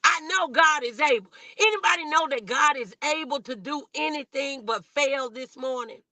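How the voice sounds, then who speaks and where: A woman's voice: sits high, normal speed, sharp tone, no echo. An American woman preaching a motivational sermon as an online host, exhorting listeners and calling on them with questions.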